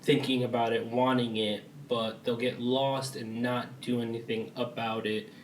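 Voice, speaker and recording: A man's voice: mid-range voice, level tone, a little slow, echoey room, recorded from a distance, slight background noise, low-quality recording. A young man on a casual podcast, explaining his views at length. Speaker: podcast co-host. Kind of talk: casual chat.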